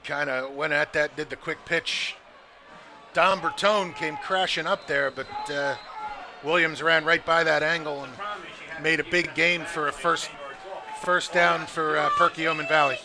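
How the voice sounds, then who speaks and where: Guy doing color commentary on football, voice a bit high, chatty and kinda halting. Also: steady tone. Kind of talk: casual football analysis. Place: outdoors at a football game.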